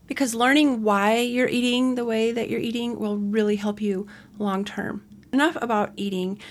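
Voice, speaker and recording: A woman's voice: on the high side, steady tone, normal speed. Chatty rambling woman in her forties. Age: 40s. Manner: rambling, chatty, self-correcting asides. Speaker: podcast host. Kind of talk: casual solo podcast chat.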